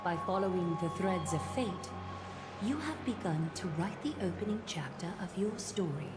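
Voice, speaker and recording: An actress voicing a poised, regal seer in a video game, speaking in formal, measured and gracious lines. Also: female voice, fairly low pitch, expressive, lightly acted. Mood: serene. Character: poised regal seer lady, host of a holy city. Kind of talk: scripted video game voice acting. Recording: roomy echo under loud game music.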